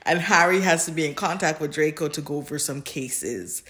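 A woman's voice: deep voice, moderate pace, a bit bright. A woman co-hosting a podcast, chatting casually and informally about fan fiction in a giggly, rambling way. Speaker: podcast co-host.

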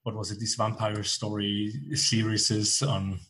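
A man's voice: lowish voice, flat delivery, a little slow, sharp tone, poor audio. A man hosting a podcast, asking questions in a loose, searching way that often restarts mid-sentence. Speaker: podcast host. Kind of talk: casual interview chat.

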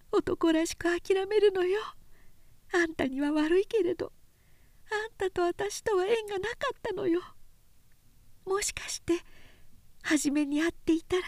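A woman's voice: very high voice, darkish tone, dry recording, pitched above the performer's own voice. A woman reading a story, voicing an anguished, drunk young woman in a thin, wavering, old-fashioned feminine voice.

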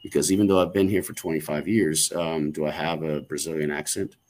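A man's voice: a bass voice, fairly even intonation, a little fast, darkish tone. A man in casual podcast conversation, rambling with frequent ums and restarts and casual swearing. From United States. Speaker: podcast co-host.

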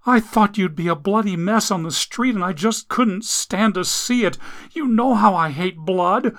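A man voicing a squeamish, panicky young woman, shrill and whimpering. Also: a little slow, fairly bright tone.

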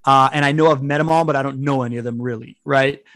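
A man's voice: mid-range voice, steady tone, quick pace, fairly bright tone, dry recording. A man in his thirties, a podcast guest, chatting casually and telling rambling stories with many restarts. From Venezuelan family, grew up outside Venezuela. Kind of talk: casual interview chat.

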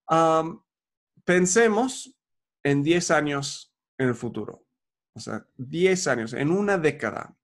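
A man's voice: on the high side, lively intonation, takes it slowly. A man, coaching in a casual chat, upbeat and peppered with fillers. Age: 40s.

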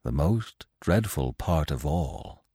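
Man voicing a slow, low, grave old stag. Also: lively intonation, slightly rough, no room sound, studio quality, exaggerated voice. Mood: sombre sorrow. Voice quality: quiet, low. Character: old male stag, grave, sombre, wise.

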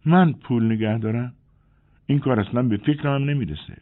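A man voicing a nervous, fragile young woman in an audiobook, with a husky tone on tinny phone-like audio. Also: mid-range voice, voice pushed up, close to an everyday voice. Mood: anxious. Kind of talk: audiobook character voice.

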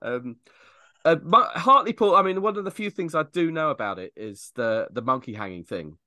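A man hosting a casual sports podcast, chatty and quick to laugh as he steers the conversation. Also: fairly high pitch, sing-song, sharp tone, dry recording, clean studio sound. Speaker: podcast host.

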